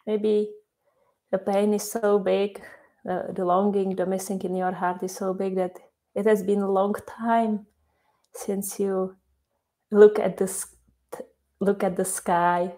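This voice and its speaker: A woman whose first language is Czech, guiding a meditation slowly and softly, repeating her phrases with long pauses. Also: medium pitch. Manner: slow, soft and repetitive, with many pauses. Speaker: meditation guide. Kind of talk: guided meditation.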